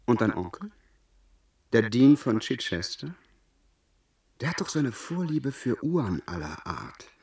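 An actor playing a sly confidant in a German radio play, coaxing and insinuating in a deliberate manner. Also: male voice, medium pitch, very animated, creaky, darkish tone, reverberant, far from the mic. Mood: sly scheming. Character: sly, scheming confidant egging a gentleman on.

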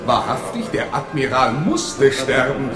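A man playing a gloating, excitable royal prince in a theatrical radio-play style. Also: medium pitch, measured pace. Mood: exultant, gloating. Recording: echoey room, music behind.

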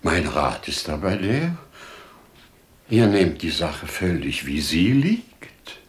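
An older actor playing a wise, humble old merchant in a 1950s German radio drama, with measured, declamatory verse delivery. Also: male voice, low voice, sing-song, exaggerated voice. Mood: earnest, judicial.

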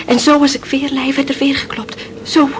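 An actress playing a frightened, lovesick young woman in a radio drama, halting and haunted, on a noisy old recording. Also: high-pitched, flat delivery, close to an everyday voice. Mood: haunted dread. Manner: haunted, halting, hushed storytelling. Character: frightened young woman pining for her sailor sweetheart. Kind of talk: dramatic radio play acting.

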